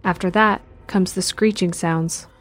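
A woman reading scripted narration for an audio drama in an even, descriptive way. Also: on the low side, a little slow, a little hiss or hum.

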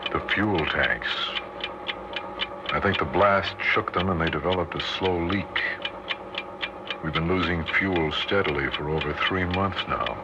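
A deep-voiced American father in a 1960s radio drama. He speaks in measured, plain words, weary but steady and reassuring, on a muffled recording with clear background noise. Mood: grim, resigned. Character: weary, steady, reassuring father sheltering his family underground.

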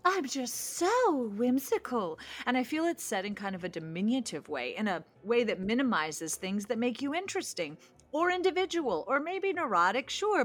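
An actress playing a candid, neurotic single woman in naturalistic audio drama, expressive and opinionated. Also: a little high. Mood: indignant, opinionated.

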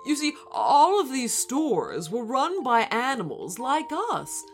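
Woman putting on a blustering, excitable crocodile baker. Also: sits high, takes it slowly, faint music behind, voice pushed up, heavily acted. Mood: apologetic, wistful. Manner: stammering, indignant exclamations. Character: jolly, blustering, easily outraged male crocodile baker.

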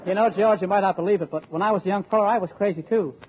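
An actor playing a jovial, folksy, silly sidekick in 1940s radio comedy, heard on an old recording. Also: male voice, sits high, racing, narrow, tinny audio, crowd noise, noisy background, natural voice. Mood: confiding.

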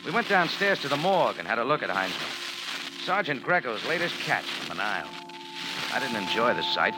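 Guy as sardonic american noir hero, lively delivery, music behind, old radio. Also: medium pitch, close to an everyday voice, rough recording. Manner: sardonic, slangy, unflustered. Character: wry, tough American cafe owner narrating his adventure. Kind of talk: 1940s radio noir narration and dialogue.

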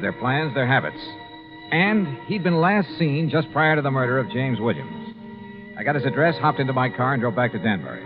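A man playing a brisk, wry, hard-boiled insurance investigator in a 1950s radio detective drama. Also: medium pitch, natural voice. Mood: brisk narrating. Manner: clipped, wry, no-nonsense. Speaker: lead actor in a radio drama. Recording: dull 1950s radio recording, music.

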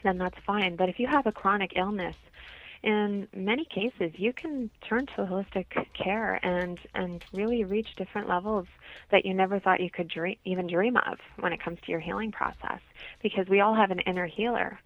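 A woman's voice: mid-range voice, normal speed, no echo. A woman from Canada speaking as an interview guest, explaining things as a holistic health practitioner on slightly dull radio audio.

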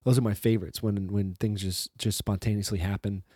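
A man with a low, mellow voice hosts a podcast in a loose, rambling way, restarting sentences and swearing freely. His voice is slightly hoarse from a recent flu, and the recording is dry and close. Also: fairly even intonation, a little fast, dry recording. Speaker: podcast host. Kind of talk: casual solo intro monologue.

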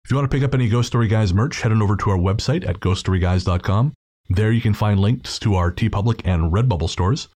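A man's voice: fairly low pitch, fast, a little mellow. A man hosting a podcast, chatting casually and informally through thanks and plugs. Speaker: podcast host.

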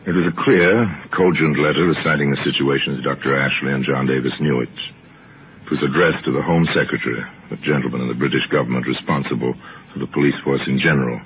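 A man narrating an old-time radio mystery as host, measured and storytelling in his delivery. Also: very deep voice, fairly even intonation, slight background noise. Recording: tinny old radio broadcast.

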